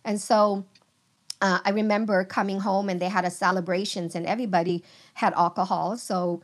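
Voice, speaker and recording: A middle-aged woman from Vietnam, a guest on a podcast, sharing her testimony in slow, halting, earnest speech full of pauses. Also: medium pitch, steady tone.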